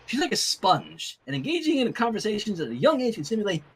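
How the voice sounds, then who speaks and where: A man's voice: high-pitched, huge pitch swings, fast talker, husky, full character voice. A man voicing a stern, fussy young female pony princess who gives anxious, bossy instructions in a fan fiction reading. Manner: exasperated, bossy, over-careful instructions.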